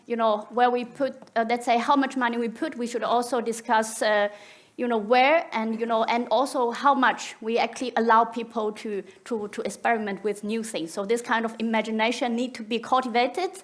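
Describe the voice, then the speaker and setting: A woman's voice: fairly high pitch, steady tone, moderate pace. A woman who is not a native English speaker, giving a conference talk with hesitant, searching phrasing.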